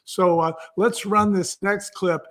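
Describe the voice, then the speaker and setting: A man's voice: high voice, fairly even intonation, takes it slowly, a bit bright. A man speaking as an interview guest, explaining and storytelling in a conversational, enthusiastic way. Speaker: guest, entrepreneur and author.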